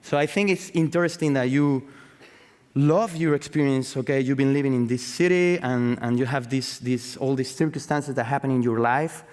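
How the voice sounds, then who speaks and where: Spanish guy giving a loose sweary talk on stage, big reverby hall, kinda halting english. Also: mid-range voice, darkish tone. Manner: sweary, halting, searching for words. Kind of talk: informal conference talk. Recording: echoey hall with long reverb.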